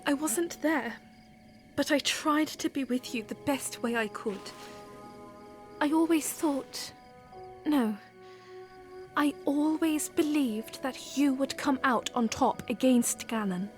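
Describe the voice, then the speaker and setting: A woman playing a gentle, devoted young princess in a fantasy audio drama, speaking softly and earnestly. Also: sits high. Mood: tender, earnest. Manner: earnest, tender, slow. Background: music.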